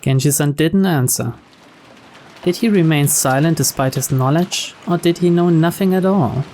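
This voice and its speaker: A man's voice: a little high, normal speed, darkish tone, a little hiss or hum. A man reading scripted first-person narration from a mystery visual novel. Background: music and rain.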